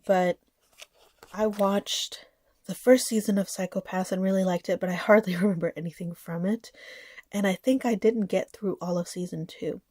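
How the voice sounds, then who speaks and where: A woman's voice: mid-range voice, fairly even intonation, moderate pace, mellow, no echo, studio quality. A woman in her thirties, sick and a bit nasal, chatting casually about her collection with frequent fillers and small laughs. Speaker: anime collector vlogger. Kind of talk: casual haul chat.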